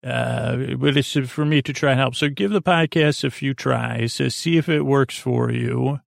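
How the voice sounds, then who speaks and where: Creaky-voiced man rambling gently at bedtime. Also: mid-range voice, no room sound, studio quality. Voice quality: creaky, gravelly. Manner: halting and meandering, with many false starts; gentle, reassuring, informal. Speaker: podcast host. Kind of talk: rambling, soothing bedtime podcast monologue.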